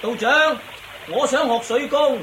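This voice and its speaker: Polite young disciple, radio play; very high voice, echoey, noisy backdrop. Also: male voice, unhurried, sharp tone, full character voice. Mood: earnest. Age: young adult. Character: earnest, polite young male student of water asceticism. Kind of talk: Cantonese Buddhist radio drama acting. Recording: echoey, with clear background noise.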